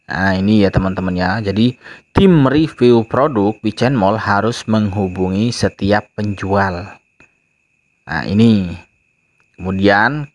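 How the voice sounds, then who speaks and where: A man vlogging casually, reading social media posts aloud and explaining them with many filler phrases, voiced over a screen recording. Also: fairly low pitch. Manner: repeats "ya teman-teman ya" often, reads posts aloud then explains. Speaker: crypto news vlogger. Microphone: phone mic, voice-over on screen recording.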